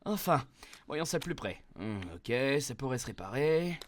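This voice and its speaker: A man voicing a smooth-talking, pushy salesman in an animated comedy dub, chummy and oily. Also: mid-range voice, moderate pace, lightly acted. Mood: slick.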